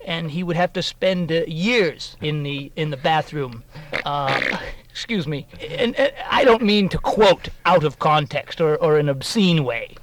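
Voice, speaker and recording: Man acting evasive corporate guest, somewhat high voice, stammering, rambling, pompous, radio comedy. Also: a little slow, slight background noise, close to an everyday voice. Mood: embarrassed, apologetic. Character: evasive, apologetic corporate spokesman, pompous and embarrassed. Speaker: interview guest. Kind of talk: mock radio interview.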